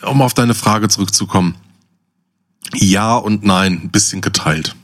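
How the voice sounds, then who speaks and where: A man's voice: lowish voice, a little slow, a bit bright. A man talking casually as a podcast co-host, blunt, opinionated and colloquial.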